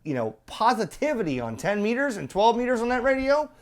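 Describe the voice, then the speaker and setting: A man's voice: very high voice, big pitch swings, a little fast. A man presenting a casual, off-the-cuff hands-on product demo, conversational and opinionated, often tossing in rhetorical questions.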